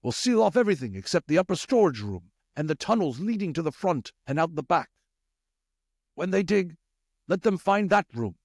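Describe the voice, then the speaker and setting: Guy doing a storybook rat leader, higher pitched, weary but in charge, deliberate and urgent. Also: very animated, dry recording, studio quality. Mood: urgent, commanding.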